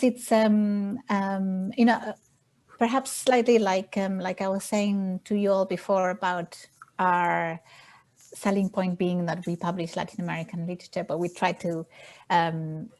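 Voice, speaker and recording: A woman's voice: medium pitch, darkish tone. A woman from Argentina, a publisher, speaking in an online panel discussion with many restarts and fillers.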